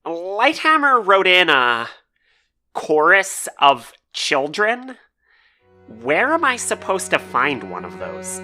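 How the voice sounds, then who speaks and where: A flustered musician acted by a woman with a low, sharp-edged voice. She is hesitant and halting, her pitch swinging widely in audio drama dialogue. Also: huge pitch swings, soft background music, full character voice. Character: hesitant, easily flustered musician pushed into music director job.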